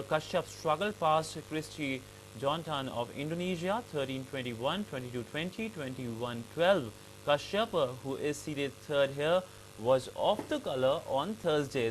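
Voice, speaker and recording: A man with an Indian accent reading the news in a formal, slightly halting way. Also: medium pitch, a little hiss or hum, poor audio. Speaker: news anchor.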